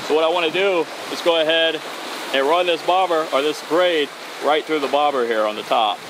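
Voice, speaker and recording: A man's voice: fairly high pitch, a little fast. A man in his thirties hosting an outdoor fishing tutorial, talking casually and upbeat as he works with his hands on a bridge over open water. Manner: upbeat, casual, talks while tying knots. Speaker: fishing channel host. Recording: outdoor recording with wind and water noise. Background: wind and waves.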